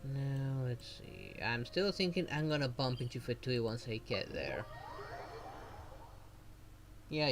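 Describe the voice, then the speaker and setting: Casual game streamer, mid-low androgynous voice. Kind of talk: casual gameplay commentary, reading dialogue choices aloud.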